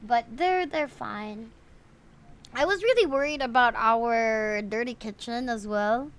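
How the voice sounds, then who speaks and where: A woman's voice: on the high side, slow pace. A woman chatting casually on a game livestream, often addressing her viewers. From Philippines. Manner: chatty, frequently greeting viewers. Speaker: livestream host playing a story game. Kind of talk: casual livestream chat mixed with reading game dialogue aloud.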